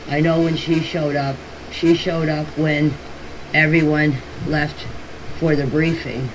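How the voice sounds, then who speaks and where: A plain-spoken woman recounting events in a casual voice message, in halting and repetitive phrasing. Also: low-pitched. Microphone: phone voice message. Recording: noisy voice clip, steady background hiss.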